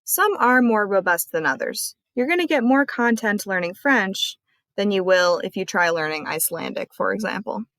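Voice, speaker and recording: A woman's voice: medium pitch, lively intonation, normal speed, a bit bright, dry recording. Dry-voiced woman librarian explaining. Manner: friendly, clear explainer. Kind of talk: screen-recorded tutorial walkthrough.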